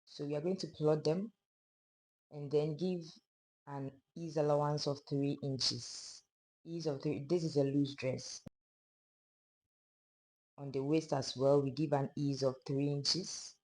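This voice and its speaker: A sewing instructor with a hoarse, fading voice, calmly narrating a pattern-drafting tutorial step by step. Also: medium pitch, takes it slowly, pauses often. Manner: calm, step-by-step explaining of measurements. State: hoarse, losing voice.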